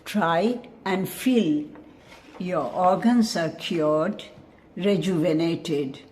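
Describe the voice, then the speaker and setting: A woman leading a scripted guided meditation in a measured, soothing, instructive manner. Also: on the low side, a little mellow.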